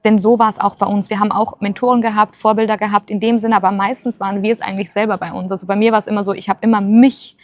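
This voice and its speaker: A woman's voice: medium pitch, level tone, rapid-fire, few pauses. Earnest encouraging woman in her twenties. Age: 20s. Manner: earnest, encouraging, many filler sounds. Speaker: co-host. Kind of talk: casual motivational chat.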